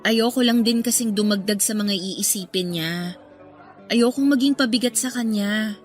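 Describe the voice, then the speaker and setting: A woman's voice: mid-range voice, normal speed, lightly acted. A woman playing a reserved, self-deprecating young woman in a radio drama, hesitant and confiding. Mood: downcast, resigned. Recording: music behind the voice.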